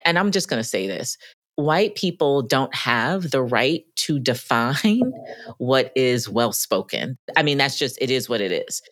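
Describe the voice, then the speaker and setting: A woman co-hosting a podcast, talking in an emphatic, lively way about workplace experiences. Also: very deep voice, a little slow, no room sound, studio quality. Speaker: podcast co-host. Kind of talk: conversational podcast discussion.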